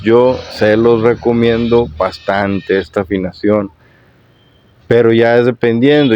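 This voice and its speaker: A man with a husky voice hosting an informal guitar tutorial vlog, explaining things repetitively and often checking in with his viewers. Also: mid-range voice. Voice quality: hoarse, husky. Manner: repetitive, with frequent check-in questions. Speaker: YouTube guitar tutorial host. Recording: dull lo-fi sound.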